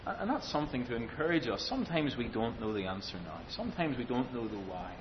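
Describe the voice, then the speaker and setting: A man preaching an expository sermon, speaking with emphatic, deliberate phrasing, rhetorical questions and repetition. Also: very animated. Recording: dull lo-fi sound, faint rumbling noise.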